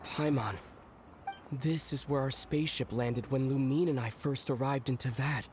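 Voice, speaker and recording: A man's voice: medium pitch, normal speed, noisy background, close to an everyday voice. Thoughtful young traveler hero voiced by a man. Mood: pensive. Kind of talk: video game character dialogue.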